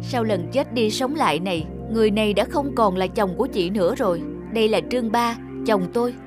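Woman as gentle sad village wife, cartoon, highish plaintive voice, music behind. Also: a little fast, close to an everyday voice. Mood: earnest. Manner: plaintive, earnest. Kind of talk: cartoon voice acting.

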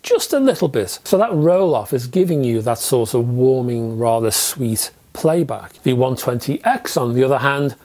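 A man in his fifties with a British accent, presenting a scripted product review in a measured, descriptive way with emphatic phrasing. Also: mid-range voice, animated, darkish tone. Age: 50s. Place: indoor room with record shelves.

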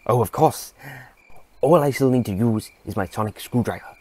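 A man playing an excitable, erudite old male scientist in an audio drama, with a fussy, courteous, delighted manner. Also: medium pitch, normal speed, lightly acted. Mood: pleased.

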